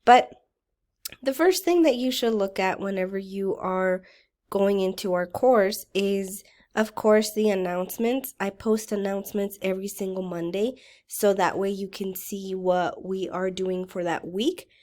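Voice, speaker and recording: A woman college writing instructor, still getting over a cold, explains how to navigate her course in a relaxed, conversational way. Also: medium pitch, unhurried. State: voice rough from a recent cold. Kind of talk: recorded course walkthrough lecture.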